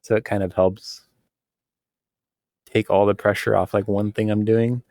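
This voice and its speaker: A man co-hosting a casual podcast conversation, talking in a relaxed, rambling way with many fillers and frequent laughs. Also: lowish voice, unhurried, no echo, clean studio sound. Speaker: podcast co-host.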